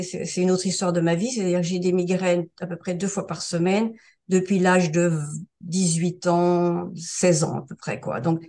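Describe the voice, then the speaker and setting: Chatty older French woman, lowish voice. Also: warm tone. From France. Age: around 70. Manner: hesitant, many fillers and restarts. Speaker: interview guest sharing a testimonial. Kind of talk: casual spoken testimonial.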